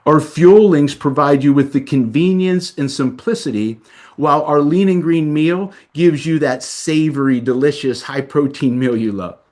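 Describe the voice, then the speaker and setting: A man, a doctor, delivering a scripted promotional presentation in a measured, emphatic reading style. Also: fairly high pitch, a little mellow. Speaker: doctor presenting a health program.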